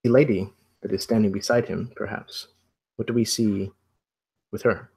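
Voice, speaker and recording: A man's voice: fairly low pitch, steady tone, normal speed, vocal fry, a little mellow, husky. A man acting as game master, narrating a tabletop mystery role-playing session.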